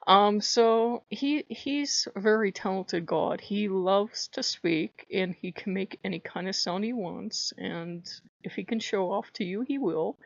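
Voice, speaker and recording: Chatty woman with a high voice. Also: measured pace, creaky, hardly any pauses, no room sound, highs cut off. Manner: chatty, rambling, laughs often. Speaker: spiritual vlogger. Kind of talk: casual talk to camera.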